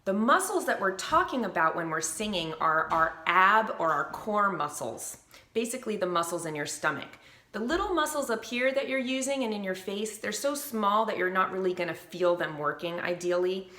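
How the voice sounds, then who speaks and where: A woman vocal coach explaining breath support and pitch in a clear, upbeat teaching manner, with short sung demonstrations. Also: animated, normal speed, sharp tone, echoey room. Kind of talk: instructional video.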